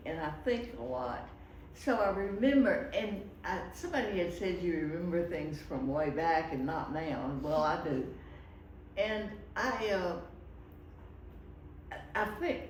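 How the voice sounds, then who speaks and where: Slow, halting woman in her eighties. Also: fairly low pitch, a little hiss or hum. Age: 80s. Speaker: interviewee. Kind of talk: reflective casual talk. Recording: echoey room, distant mic.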